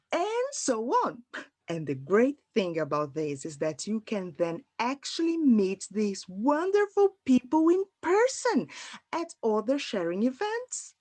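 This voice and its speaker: A woman's voice: fairly high pitch, darkish tone. A woman playing an upbeat, welcoming event coordinator in an audio drama. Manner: gushing, welcoming. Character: upbeat woman event coordinator hosting online speed dating.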